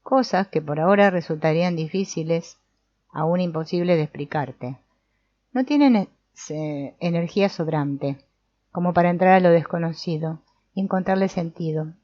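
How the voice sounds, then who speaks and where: A calm old sorcerer teacher lays out his lessons in a measured, patient way, as a woman reads him in her own low voice. The tone is dark and mellow. The recording sounds dry and a little muffled. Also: dry recording, natural voice. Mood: explaining. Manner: measured, explanatory reading with small restarts. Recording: dull, muffled audio.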